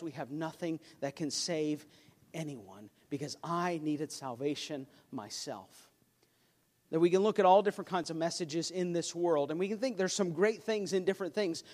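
A man's voice: a little high, a little mellow. A man preaching a sermon as pastor, earnest and emphatic, with a self-deprecating touch. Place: church sanctuary. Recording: long hall reverb.

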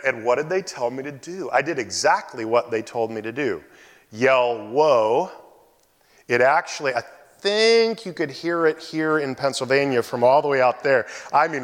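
A man preaching a sermon, telling a personal story in an animated way and bursting into loud exclamations. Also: medium pitch. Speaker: pastor. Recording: hall reverb.

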